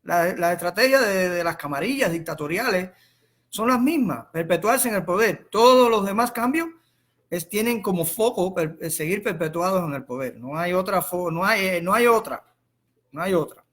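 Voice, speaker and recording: Cuban man, high voice, forceful bright tone, informal live political commentary, halting, repeated words, rhetorical questions. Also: lively intonation. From Cuba. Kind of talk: informal explanatory monologue.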